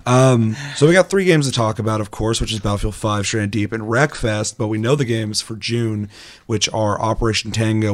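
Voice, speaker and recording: A young man with a hoarse, raspy voice, hosting a casual gaming podcast with chatty, self-deprecating humor. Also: lowish voice. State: hoarse, recovering lost voice. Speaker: podcast host.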